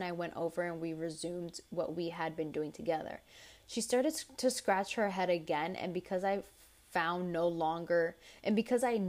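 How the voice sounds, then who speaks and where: A young woman hosting a casual advice podcast, blunt and chatty, with a tired, raspy voice as she reads listener stories aloud. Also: lowish voice, a little mellow. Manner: blunt, chatty, swears freely. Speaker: podcast host.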